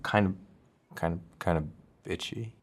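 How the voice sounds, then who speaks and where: A man's voice: fairly low pitch, animated, moderate pace, natural voice. A man playing a flustered, apologetic colleague with a crush in an audio drama, stammering and rambling nervously. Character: flustered, apologetic, eager-to-please male department colleague with a crush.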